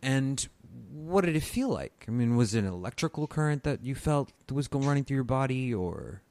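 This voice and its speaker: A man hosting a podcast, asking questions in a halting way with many restarts. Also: mid-range voice, big pitch swings, a little mellow, slight background noise. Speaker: podcast host. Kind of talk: interview questions.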